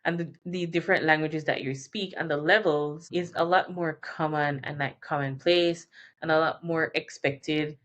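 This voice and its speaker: A woman's voice: deep voice, fairly even intonation, moderate pace, a bit bright. A woman from Jamaica explains things informally as an advice presenter, conversational, repeating key terms and correcting herself as she goes. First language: Jamaican Patois and English. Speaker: career and relocation advice presenter.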